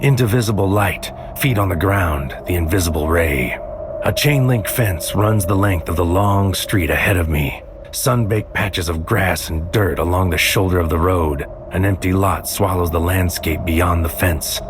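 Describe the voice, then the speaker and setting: An actor playing a world-weary psychic agent in a noir audio drama, speaking in a terse, brooding, deliberate way. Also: male voice, sits low, noisy background, close to an everyday voice. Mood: grim, brooding. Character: world-weary psychic agent man narrating his dangerous mission.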